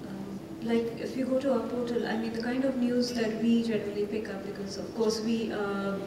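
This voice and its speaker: A woman's voice: fairly high pitch, fairly even intonation, a little fast. A woman giving an unscripted panel answer, very hesitant, with frequent stops and filler sounds. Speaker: panelist from a news portal. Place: large indoor conference hall. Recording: echoey hall, distant mic, clear noise.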